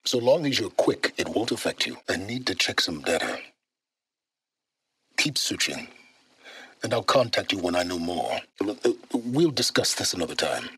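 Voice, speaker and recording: Deep, husky, imperious game mentor. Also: male voice, big pitch swings, normal speed, pushed and bright, dry recording, heavily acted. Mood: curt, instructing. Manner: commanding, condescending, formal precise diction. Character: secretive, learned older male wanderer, imperious and impatient. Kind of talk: video game character dialogue.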